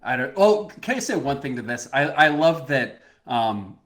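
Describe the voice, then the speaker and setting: A man co-hosting a casual podcast, excitable and argumentative, often laughing. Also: mid-range voice, moderate pace. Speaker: podcast co-host. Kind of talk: casual chat.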